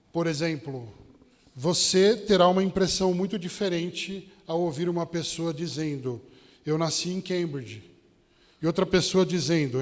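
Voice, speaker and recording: A man with a São Paulo Brazilian accent preaching a sermon as a Baptist pastor, addressing his listeners directly with rhetorical questions and anecdotes. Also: fairly high pitch. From São Paulo state, Brazil. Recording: long hall reverb.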